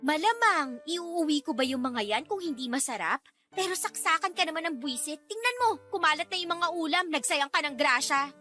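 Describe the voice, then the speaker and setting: A woman's voice: high voice, expressive, a little fast, pushed and bright. An actress playing a feisty, easily offended young woman in a Filipino radio drama, with sassy, indignant retorts and a Filipino accent. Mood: annoyed, defiant. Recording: music bed under the voice.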